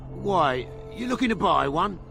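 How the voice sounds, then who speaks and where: An actor playing a hapless, defensive scavenger in a video game, rambling and griping in a colloquial way. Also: male voice, high voice, sing-song, a little slow, vocal fry, sharp tone, soft background music, full character voice. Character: shifty, grumbling male scavenger, hapless and defensive. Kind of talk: video game character dialogue.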